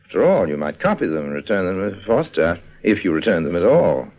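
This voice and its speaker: An actor playing a smooth, roguish con artist in an old radio drama, wry and urbane with a show of reluctance. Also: male voice, sing-song, a little fast, heavily acted. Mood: feigned reluctance. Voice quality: deep voice. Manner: wry, urbane, hesitating and hedging when cornered. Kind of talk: 1950s radio drama acting and narration. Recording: dull, muffled old radio recording.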